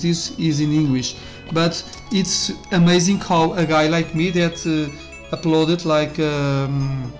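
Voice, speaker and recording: Casting note: man, chatty game commentary, loose and rambling, with faint game music underneath. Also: on the high side. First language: Portuguese. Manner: rambling, self-interrupting, with frequent fillers and laughs. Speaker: YouTuber reviewing a game. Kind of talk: casual gameplay commentary.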